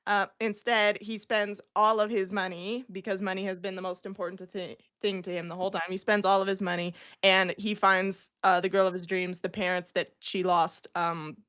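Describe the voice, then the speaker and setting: A woman's voice: mid-range voice, flat delivery, moderate pace, few pauses, a bit bright, smooth. A woman in a casual discussion, explaining her points in an informal, conversational way full of "like". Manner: informal, peppers talk with "like". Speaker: co-presenter in a writing discussion.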